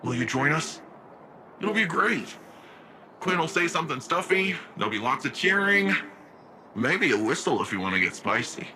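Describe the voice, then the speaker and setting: An actor playing a youthful, unsure rookie hero in a sci-fi game, talking in an informal, candid, conversational way. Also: male voice, a little high, big pitch swings, normal speed, pauses often, sharp tone, full character voice, low-quality recording. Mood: hopeful. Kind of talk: conversational game character acting. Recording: clear ambient noise behind.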